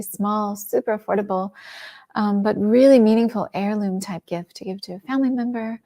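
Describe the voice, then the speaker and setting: A middle-aged woman hosting a shopping livestream, chatting enthusiastically and dropping into soft asides. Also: mid-range voice. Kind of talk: casual product presentation.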